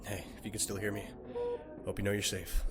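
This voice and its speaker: A man playing a calm emergency doctor in an audio roleplay, gentle and reassuring yet authoritative. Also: lowish voice, a little fast, loud music behind the voice, lightly acted. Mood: soothing, steady. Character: calm, reassuring male emergency doctor tending critical patient.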